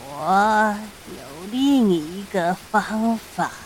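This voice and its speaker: Slow, sly elderly shopkeeper acted by a woman. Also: mid-range voice, lively intonation, slight background noise. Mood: sly, menacing. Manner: slow, drawling, teasing, chuckling menace. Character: sly, greedy elderly shopkeeper of sinister magic apples. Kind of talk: audio drama acting.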